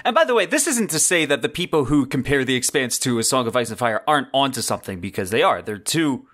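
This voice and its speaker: A man's voice: mid-range voice, very animated, quick pace, a bit bright. A man hosting a solo podcast, giving semi-scripted commentary in a wordy, enthusiastic, conversational way into a close desk microphone. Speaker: podcast host. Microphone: close desk-mounted microphone on boom arm.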